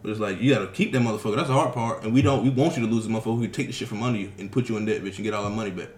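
A man's voice: on the low side, very fast. A man in his twenties, ranting profanely and animatedly in a casual chat among friends. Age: 20s. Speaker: podcast co-host. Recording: echoey room.

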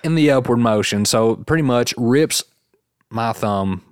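A man's voice: mid-range voice, expressive, measured pace, smooth, no room sound, clean studio sound. A man hosting a casual podcast, rambling in an easygoing way and laughing often. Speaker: podcast host.

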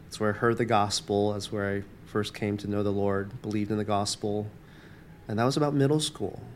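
A man's voice: lowish voice, steady tone, fairly quick, a little mellow, slight background noise. A man born in Taiwan, a campus minister, talking reflectively in an interview with many fillers and restarts.

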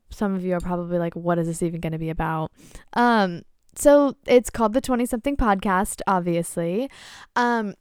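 A woman in her twenties hosting her own podcast, chatting casually on her own with an excitable, candid manner, laughing often and swearing freely. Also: fairly high pitch, clear, resonant tone, dry recording, clean studio sound. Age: 20s. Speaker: podcast host. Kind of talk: casual solo chat.

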